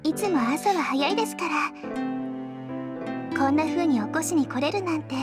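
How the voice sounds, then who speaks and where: Very high-voiced sweet schoolgirl, music behind. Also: expressive, fast talker. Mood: gentle, polite. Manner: polite, gentle, deferential. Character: sweet, polite teenage girl, a devoted schoolmate. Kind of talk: Japanese visual novel voice acting. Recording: game audio with music over it.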